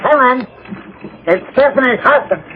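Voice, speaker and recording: An actor playing an alarmed, bewildered husband, anxious and halting, in a radio drama. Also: male voice, extremely high pitch, lively intonation. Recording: noisy, tinny old radio recording.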